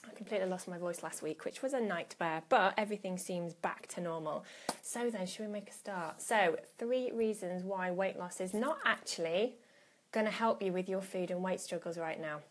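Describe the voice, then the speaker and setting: A woman's voice: on the low side, normal speed, slight background noise. A woman in her thirties chats to her viewers on a live stream, greeting them by name as they join. She talks casually and often restarts her phrases, recorded on a phone or laptop at home. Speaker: coach hosting a live stream.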